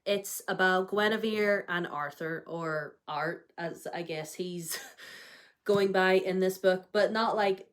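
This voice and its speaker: A woman's voice: medium pitch, a bit bright. Young woman, bit of a cold, chatty book vlogger, lots of fillers. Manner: chatty, lots of ums and likes. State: has a cold, may cough. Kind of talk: casual chat.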